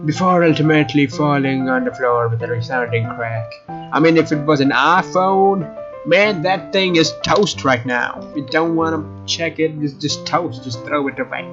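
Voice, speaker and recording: Male let's player, fairly high voice, expressive story narration with casual asides, loud music behind. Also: moderate pace. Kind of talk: reading a visual novel aloud with casual asides. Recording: muffled sound under loud music. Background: loud game music.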